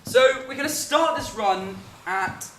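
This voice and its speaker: A man's voice: very high-pitched, animated, measured pace, fairly bright tone, exaggerated voice. An actor playing an exasperated amateur theatre director, bossy and theatrical as he marshals his cast. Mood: brisk, organising. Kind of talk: acted comedy drama dialogue. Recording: echoey room, some distance, faint noise.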